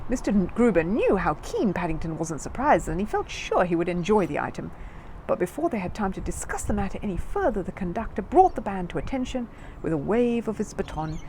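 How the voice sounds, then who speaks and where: A woman reading a children's book aloud as scripted narration, in an expressive storyteller's manner. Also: medium pitch, slight background noise.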